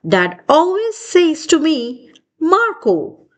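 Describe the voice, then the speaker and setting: A woman reading a children's picture book aloud in an expressive storytelling style. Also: high voice, takes it slowly.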